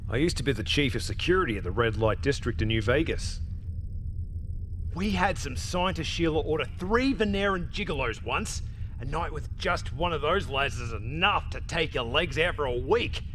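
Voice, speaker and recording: Cocky, animated space captain. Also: male voice, on the high side, moderate pace, slight background noise. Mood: amused storytelling. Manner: laid-back, slangy, cocky. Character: cheeky, roguish male spaceship captain. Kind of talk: video game voice acting.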